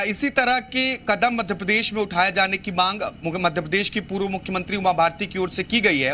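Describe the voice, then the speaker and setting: An Indian man giving a live news report in a formal, explanatory way, with halting restarts. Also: sits high, pushed and bright, slight background noise.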